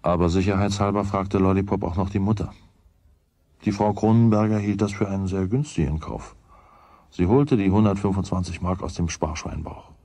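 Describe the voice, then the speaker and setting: A man with a husky voice reading scripted storybook narration in a radio play. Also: low-pitched, fairly even intonation, moderate pace, mellow, no echo.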